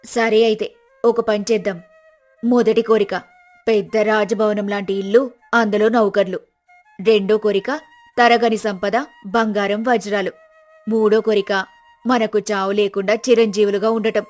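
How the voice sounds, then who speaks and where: A woman voicing a scheming, sharp-tongued poor village wife in a storybook drama, talking in a bossy, scolding way. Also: mid-range voice, little pitch movement, measured pace, pauses often, faint music behind, natural voice.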